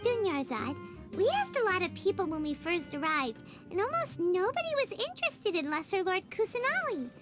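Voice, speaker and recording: A woman's voice: very high voice, big pitch swings, normal speed, over music. A woman voicing a tiny floating fairy-like girl companion who is chatty and childlike, whining and referring to herself by name in a game cutscene. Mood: curious. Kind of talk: video game cutscene voice acting.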